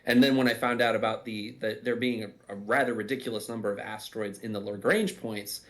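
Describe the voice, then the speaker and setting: A man's voice: lowish voice, steady tone, brisk, fairly bright tone. A man giving casual behind-the-scenes commentary, explaining things in a reflective, self-deprecating way. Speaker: audio drama writer and voice actor.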